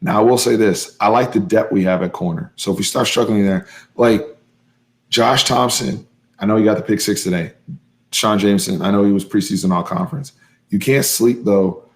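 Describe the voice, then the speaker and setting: A hoarse man hosting a live fan stream, recapping a football game in an excitable, emphatic way and repeating his points. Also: fairly low pitch, normal speed. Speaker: host of a fan sports channel.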